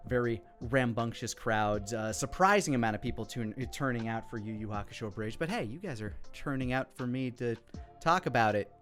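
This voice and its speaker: A man's voice: medium pitch, brisk, fairly bright tone, soft background music. A man giving casual, enthusiastic creator commentary on his own parody series, swearing casually.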